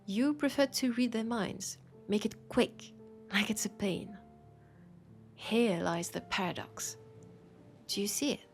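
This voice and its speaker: Music plays behind a woman acting as a bookshop keeper with a French accent. She speaks slowly and softly at an ordinary female pitch, teasing and curious, in an intimate audio roleplay monologue that often drops toward a whisper. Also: lively intonation. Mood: teasing, wry. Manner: soft, teasing, musing, often dropping to a whisper.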